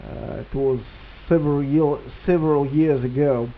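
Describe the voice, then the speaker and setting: A man's voice: fairly high pitch, fairly even intonation, normal speed. A man with a Russian accent speaking hesitant non-native English in a casual ham radio exchange over a radio link. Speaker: amateur radio operator, reflector administrator. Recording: tinny band-limited radio link with hiss.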